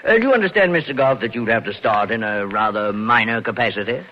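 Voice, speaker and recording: An actor playing a fussy, affable older bank manager with a formal, repetitive manner in 1940s radio style. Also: male voice, lowish voice, expressive, fast talker, lightly acted. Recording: dull lo-fi 1940s radio, loud music.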